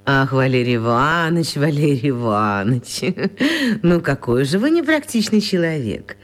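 A woman's voice: deep voice, big pitch swings, normal speed. An actress playing a chatty, eccentric elderly woman pensioner with a genteel, coquettish manner in a children's radio play. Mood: teasing reproach.

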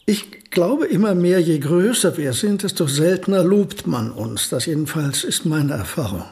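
A modest, understated old physicist with a dry, ironic wit in a radio drama. His voice sits somewhat high for a man, and his remarks come out calm and deadpan. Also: normal speed, gravelly. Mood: wry. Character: wry, modest elderly male physicist visitor. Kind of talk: radio play acting.

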